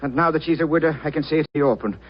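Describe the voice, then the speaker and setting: Proud, protective male suspect actor: slightly high voice, vintage radio melodrama, dull recording. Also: rapid-fire, slight background noise, close to an everyday voice. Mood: defiant, impassioned. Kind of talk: 1940s radio melodrama acting.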